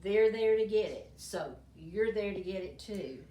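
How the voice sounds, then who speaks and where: An older woman with a slightly hoarse voice, explaining herbal remedies in a folksy, rambling way with many ums and restarts, indoors at a museum's old doctor's office. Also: medium pitch, lively intonation, a little slow, warm tone. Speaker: herbal medicine demonstrator. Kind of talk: casual show-and-tell explanation. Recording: echoey room, mic at a distance.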